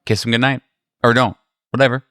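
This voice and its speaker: A man speaking as game master and host of a tabletop horror game, in a halting, informal way with jokey asides. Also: medium pitch, flat delivery, pushed and bright, dry recording, soft background music. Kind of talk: narrating a tabletop horror game, casual banter.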